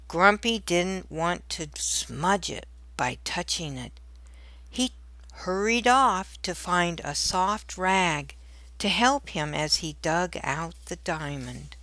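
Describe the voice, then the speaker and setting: A woman narrating a children's storybook aloud in a deliberate, expressive storytelling manner. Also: on the low side, no room sound.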